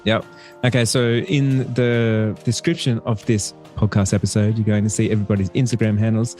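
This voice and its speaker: A man's voice: fairly low pitch, level tone, a little fast, darkish tone, soft background music. Upbeat man hosting a podcast. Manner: upbeat, laughs often. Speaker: podcast host. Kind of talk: casual chat.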